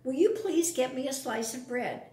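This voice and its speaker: A woman's voice: sits high, normal speed, mellow, roomy sound, recorded from a distance, lightly acted. A woman voicing an insistent, patient mother rabbit in a read-aloud children's storybook.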